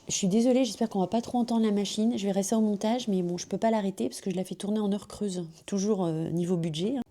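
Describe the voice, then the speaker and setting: A woman from France, a beauty vlogger, chatting casually about finished products at home, slightly hoarse from a cold, with lots of fillers and self-corrections, on a small clip-on mic. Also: medium pitch, dark tone. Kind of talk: casual product review chat. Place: at home with a machine running nearby. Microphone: small magnetic clip-on mic.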